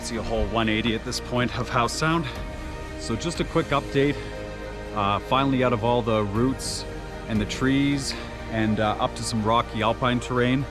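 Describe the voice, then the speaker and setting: A man with a naturally loud voice giving casual commentary outdoors on a mountain trail, relaxed, with many filler uhs. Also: medium pitch, normal speed. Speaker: trail running vlogger. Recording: music playing behind the voice.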